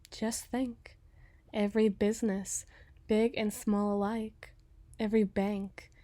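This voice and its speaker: A woman playing a cool, scheming, seductive mob boss in an ASMR roleplay, with a deliberate, theatrical delivery that often sinks toward a whisper. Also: medium pitch, dark tone, dry recording.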